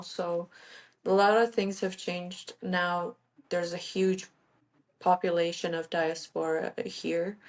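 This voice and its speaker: A woman in her thirties from Iran, a guest in a casual interview, talking chattily with frequent fillers and self-corrections. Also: fairly low pitch. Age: 30s.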